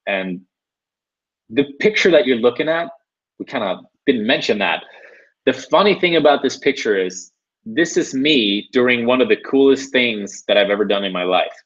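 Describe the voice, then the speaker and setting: A man's voice: medium pitch, animated, normal speed. A young man from Sweden hosting a live webinar, talking casually with lots of "you know" and "right". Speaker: webinar host. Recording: dull lo-fi webinar audio.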